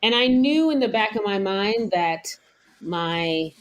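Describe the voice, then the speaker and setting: An American woman chef, around her thirties, talking candidly and thoughtfully in a relaxed interview, laughing now and then. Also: medium pitch, slow, lo-fi sound. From United States. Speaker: chef, guest. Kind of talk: casual interview talk.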